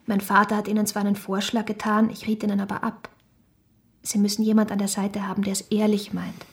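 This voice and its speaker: An actress playing a frank, guarded young shopkeeper's daughter, speaking soberly and admonishingly in a radio play. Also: female voice, medium pitch, monotone, brisk, lightly acted. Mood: stern, caring.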